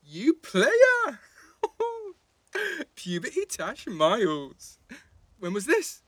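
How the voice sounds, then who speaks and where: Lively, cheeky English teenage lad. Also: male voice, very high voice, extremely slow, no echo, exaggerated voice. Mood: gleeful teasing. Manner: teasing, slangy, blunt. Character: cheeky teenage boy in care, teasing, blunt, guarded. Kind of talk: naturalistic audio drama dialogue.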